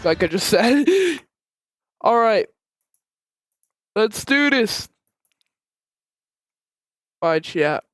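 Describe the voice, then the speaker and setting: A male livestreamer with a high, voice-changed sound reacts casually to what he watches. He talks slowly, in a very dry recording. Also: expressive, a bit bright, no echo. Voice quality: altered by a voice changer.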